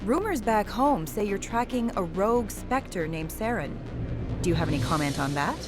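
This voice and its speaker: A woman's voice: mid-range voice, animated, normal speed, music in the background, natural voice. An actress playing a pushy, insinuating female reporter in a video game, probing and smug. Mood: probing, snide. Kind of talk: video game interview dialogue.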